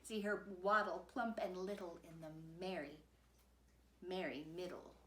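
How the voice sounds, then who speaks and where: A woman's voice: lowish voice, a little slow, a bit bright, reverberant, a little hiss or hum. A woman narrating a rhyming children's story in a playful, rhythmic singsong. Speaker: storybook reader.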